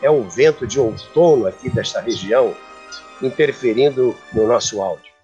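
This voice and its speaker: Male presenter over soft music. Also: medium pitch, moderate pace, highs cut off. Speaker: show presenter. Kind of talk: presenting to audience.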